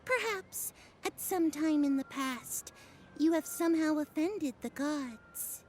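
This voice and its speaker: Mystic fortune teller, high voice, real slow n grandiose, old-timey wording, game character. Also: female voice, animated, background noise. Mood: knowing. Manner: grandiose, archaic, drawn-out delivery. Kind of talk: video game voice acting.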